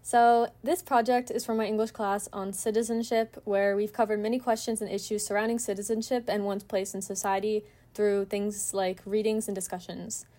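A woman's voice: mid-range voice, flat delivery, normal speed, few pauses, warm tone. A young adult woman with an American accent, hosting a student podcast and asking prepared questions politely.

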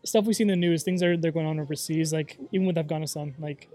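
Host, man in his late twenties, easygoing talker with a slightly raised pitch. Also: steady tone, dark tone. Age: 20s. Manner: repeats words, quick agreeing fillers. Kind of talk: casual chat.

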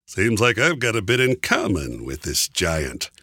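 A storybook trickster coyote, played by a man, cocky and unfazed by any danger. The voice is bright and a little husky, swinging freely in pitch as it tosses out flippant, casual lines. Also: very animated, a little slow, dry recording, clean studio sound, full character voice. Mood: breezy confidence. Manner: breezy, flippant, casual slang.

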